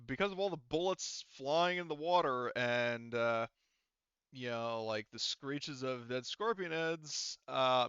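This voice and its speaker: A man working as game master, narrating a tabletop game casually in halting, hesitant phrases. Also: medium pitch, bright, forceful tone, smooth, no echo, dull audio.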